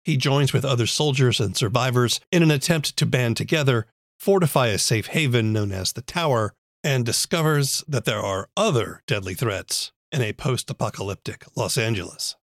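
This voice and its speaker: A man's voice: mid-range voice, lively intonation, normal speed, no room sound, studio quality. A man hosting a podcast, reading scripted introductions and sponsor messages. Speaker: podcast host. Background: music.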